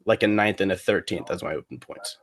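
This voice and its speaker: A man chatting casually as a podcast guest, rambling and halting, with plenty of slang. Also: deep voice. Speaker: guest, competitive cornhole player.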